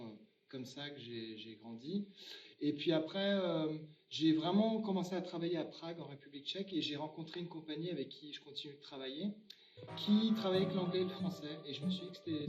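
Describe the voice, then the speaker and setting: A man's voice: a little high, a little mellow. Roomy, distant male interviewee from France. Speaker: theatre actor and company founder. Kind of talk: casual interview answers. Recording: roomy, distant mic, dull sound, faint music.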